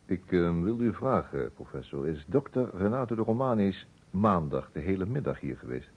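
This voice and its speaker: A man's voice: low voice, big pitch swings, normal speed, slightly rough, exaggerated voice. An actor playing a wry, methodical male investigator in a Dutch radio play. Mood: polite, probing. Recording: phone-like old radio recording, faint noise.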